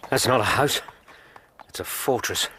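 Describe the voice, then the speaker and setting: An actor playing a dry, perceptive English doctor, a detective's loyal companion, reasoning aloud in a radio drama with a husky voice. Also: male voice, mid-range voice, unhurried, sharp tone, slight background noise. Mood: thoughtful deduction. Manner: thoughtful, wry, reasoning aloud. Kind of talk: British radio drama acting.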